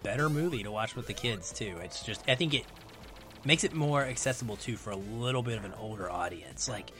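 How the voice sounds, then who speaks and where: A man's voice: lowish voice, a little fast, a bit bright, soft background music. A middle-aged man speaking as a podcast guest in a casual discussion about films.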